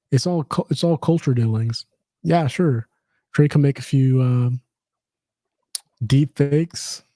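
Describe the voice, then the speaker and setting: Casting: a mellow-toned male podcast host, rambling and full of restarts, recorded dry. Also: mid-range voice, dry recording. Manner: rambling, many fillers and restarts. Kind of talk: casual chat.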